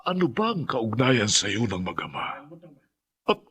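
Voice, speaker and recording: An actor playing a grim, earnest village leader in a radio horror drama, with emphatic delivery. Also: male voice, mid-range voice, big pitch swings, measured pace, fairly bright tone, breathy edge, full character voice. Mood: earnest, remorseful. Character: grim earnest village man leading men against monsters.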